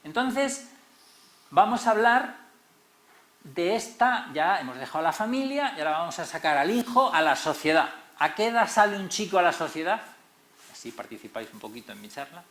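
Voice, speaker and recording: A middle-aged man with a Madrid Spanish accent giving an expressive public lecture, stressing key words as series moderator. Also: sits high, normal speed. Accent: Madrid Spanish, not very Canarian. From Tenerife, Canary Islands. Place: lecture hall. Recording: roomy echoey hall.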